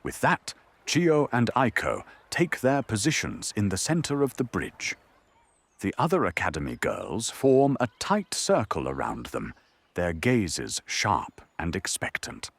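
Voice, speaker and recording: A man with a gravelly, husky voice giving dramatic scripted narration for an audio drama. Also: fairly low pitch, huge pitch swings, a little slow, no echo.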